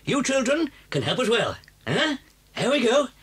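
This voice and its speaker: A man's voice: very high-pitched, wildly swooping pitch, normal speed, a bit bright, slightly rough, full character voice. A British actor playing a sly, confident, charming father fox in a children's audio story, suave and theatrical, relishing his words. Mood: bossy, gleeful.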